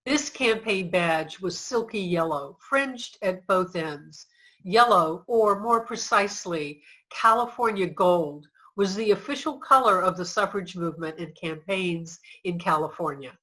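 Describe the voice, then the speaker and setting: A woman's voice: lowish voice, animated, measured pace, fairly bright tone, low-quality recording. A woman playing a resolute suffrage activist, reciting precisely from a reader's theater script. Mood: proud.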